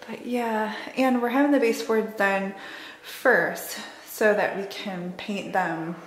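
A woman in her thirties chatting in an upbeat, casual way as she narrates a home renovation tour. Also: mid-range voice. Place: empty house rooms under renovation, indoors. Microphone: handheld camera held by speaker. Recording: echoey empty rooms, clear background noise.

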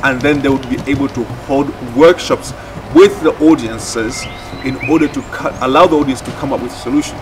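A man's voice: medium pitch, steady tone, fairly quick, background noise. A man explaining methodically, as a project facilitator in a documentary interview. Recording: distant mic under background music. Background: music.

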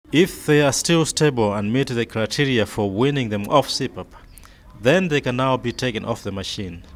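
A man narrating a scripted medical training film in a measured, step-by-step way. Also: mid-range voice. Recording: faint background noise.

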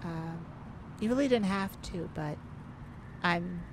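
A woman's voice: fairly low pitch, slow, noisy background. A woman playing a playful, shyly romantic lady thief in an ASMR audio roleplay, with a teasing, hesitant manner. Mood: shy, tender. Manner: teasing, hesitant, breaking into soft laughs. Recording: music behind the voice.

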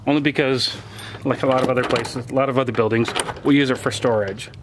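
A man in his forties talks his way through a casual homestead tour, plain-spoken and unhurried, with ums as he thinks. His voice sits in a middle pitch. It is recorded on a handheld camera outdoors and in sheds, with clear background noise on the audio. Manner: relaxed, plain-spoken, thinks aloud with fillers. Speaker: homesteader giving a property tour. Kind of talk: casual walking tour vlog. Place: outdoors and in farm buildings. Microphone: handheld camera mic, filming himself.

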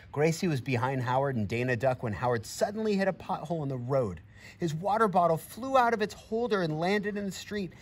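A middle-aged man with a mid-pitched, very lively voice reads a picture book to children like an encouraging teacher. He often steps out of the story to chat with his listeners. It is recorded outdoors, with some background ambience. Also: slight background noise. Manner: expressive, encouraging, addresses children and animal directly. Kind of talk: animated storybook reading with friendly asides to young listeners. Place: outdoors in a grassy farmyard by a barn.

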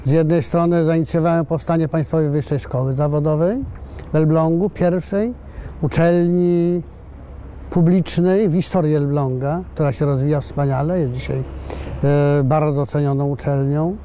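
An older man speaks in a slow, halting, measured way, stopping often between phrases. His voice sits a little high yet sounds mellow and soft-edged. Faint outdoor ambience and passing traffic hum behind him. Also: fairly even intonation. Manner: measured, hesitant, pausing mid-phrase. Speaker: election candidate. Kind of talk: campaign interview statement. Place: outdoors in a castle courtyard.

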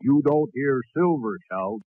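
A man playing a folksy dog doctor, telling corny jokes deadpan in a 1940s radio comedy. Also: mid-range voice, a little slow, no room sound. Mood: joking. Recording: tinny, narrow 1940s radio recording.

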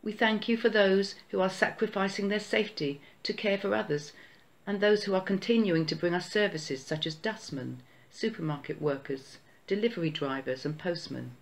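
A church prayer leader, slowly reading a solemn Easter prayer. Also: medium pitch, gravelly, rough recording. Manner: slow, measured, solemn reading. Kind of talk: read-aloud Easter prayer.

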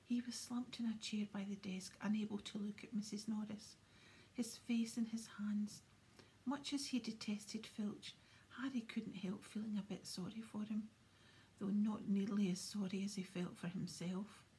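A woman reading a story aloud in a soft, unvoiced whisper. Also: mid-range voice, fairly even intonation, normal speed, slight background noise, poor audio. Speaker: audiobook reader.

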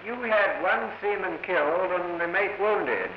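A man's voice: high voice, a little slow, a little hiss or hum. A man speaking formally and courteously as a naval official in a 1940s documentary meeting. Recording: echoey, dull 1940s film soundtrack.

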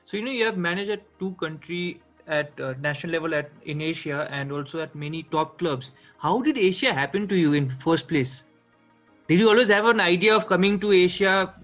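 A young man with a Nepali accent hosts a casual interview over a video call. His voice is high for a man. The sound is dull and lo-fi, with music faintly behind it. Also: brisk, a bit bright. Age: young adult. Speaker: interviewer, show host. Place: indoor room at home, on video call.

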